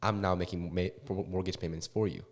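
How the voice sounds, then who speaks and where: A man in his twenties, a real estate investor, talking as a guest in a casual interview. He talks quickly and haltingly, with many restarts and fillers. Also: low-pitched, fairly even intonation. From Korea and California. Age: 20s.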